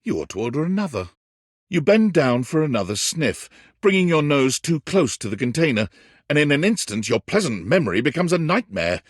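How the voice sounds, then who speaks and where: A man playing a whimsical, wry yet tender storybook narrator in a video game, with theatrical, deliberate phrasing. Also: a little high, big pitch swings, dry recording, studio quality. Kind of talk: scripted second-person storybook narration.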